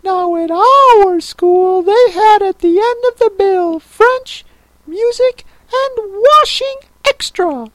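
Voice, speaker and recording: Piercingly high, mournful Mock Turtle. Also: female voice, dry recording, low-quality recording. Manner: drawn-out, tearful delivery. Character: mournful, sobbing, touchy old Mock Turtle creature.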